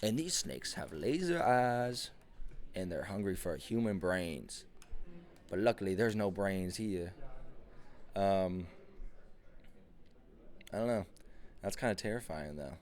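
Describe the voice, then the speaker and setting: Deep-voiced young man rambling casually. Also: expressive, slow pace, long pauses, a little mellow. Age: 20s. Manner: loose, meandering, swears casually. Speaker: podcast host. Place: airport.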